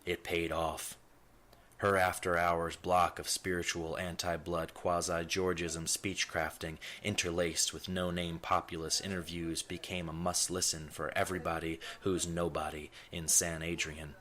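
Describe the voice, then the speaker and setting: A man playing a self-important, brooding ponderer, narrating his thoughts in wordy, deadpan scripted first person. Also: sits low, a little slow. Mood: brooding, musing.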